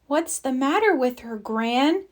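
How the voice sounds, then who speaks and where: A woman's voice: high-pitched, lively intonation, a little slow, voice pushed up. A woman voicing an anxious young granddaughter in a storybook, with a worried, pleading manner. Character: worried young girl, anxious granddaughter who cares deeply. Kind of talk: storybook read-aloud character voice.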